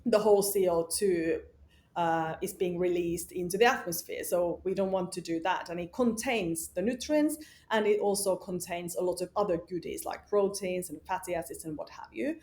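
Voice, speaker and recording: A woman's voice: lowish voice, normal speed, darkish tone. A woman, a company founder talking emphatically and outspokenly in an interview, with lots of "uh" and "you know". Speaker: company founder and CEO, guest. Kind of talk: casual interview conversation.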